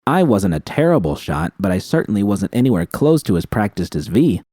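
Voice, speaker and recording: A man's voice: lowish voice, sing-song, fairly quick, mellow, husky, dry recording, studio quality. A man reading first-person fiction aloud as a scripted audiobook narration.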